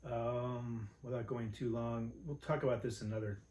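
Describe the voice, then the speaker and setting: A man's voice: medium pitch, steady tone, moderate pace, warm tone. An American man co-hosting a casual podcast, easygoing, laughing often and trailing off mid-thought. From Los Angeles area, United States. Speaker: podcast co-host. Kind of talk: casual chat. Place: home room. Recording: roomy home recording with faint noise.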